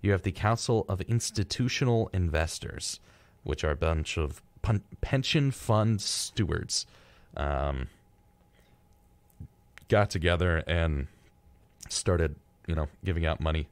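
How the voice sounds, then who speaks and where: A man's voice: sits low, slow, pauses often, no echo. A young man hosting a radio show, chatting casually and reading out facts in an informal, wry way. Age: young adult.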